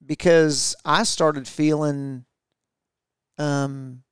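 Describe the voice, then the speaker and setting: A man's voice: a little high, fairly even intonation, drawn-out words, clear, resonant tone, no room sound. A middle-aged man co-hosting a podcast, talking reflectively with his wife, with many fillers and restarts. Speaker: podcast co-host. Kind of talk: casual conversation with spouse.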